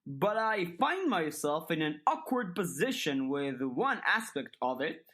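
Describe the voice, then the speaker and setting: A woman voicing a smooth, calculating older male headmaster in an audiobook, speaking in measured, formal, articulate sentences with polite manipulation. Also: low voice, sing-song, fairly bright tone, heavily acted. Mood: measured, diplomatic. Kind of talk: dramatized audiobook dialogue.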